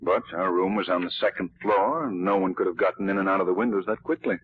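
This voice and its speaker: A knowing gentleman sleuth in an old radio mystery. His low voice is slightly husky, with suave, measured phrasing. It comes through thin and tinny. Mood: grave. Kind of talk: 1940s radio acting. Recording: tinny, muddy 1940s radio recording.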